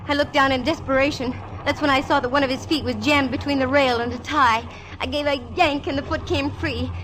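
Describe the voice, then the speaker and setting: A woman's voice: sits high, fairly bright tone, rough recording. Sassy 1940s radio lady detective. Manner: wry, punchy delivery. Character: sassy, wisecracking female private eye narrating her case. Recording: vintage radio broadcast with music behind.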